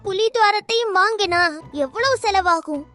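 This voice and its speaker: A woman's voice: very high voice, normal speed, fairly bright tone, soft background music. A woman voicing a pompous boy who poses as a rich landlord in a children's cartoon, grand and demanding. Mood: childlike bargaining. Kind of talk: animated children's cartoon dub.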